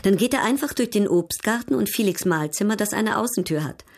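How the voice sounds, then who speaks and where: An actress playing a worldly, haughty European baroness in a German radio drama, formal, poised and coolly ironic. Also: female voice, medium pitch, expressive, fairly quick, a little mellow. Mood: cool amusement.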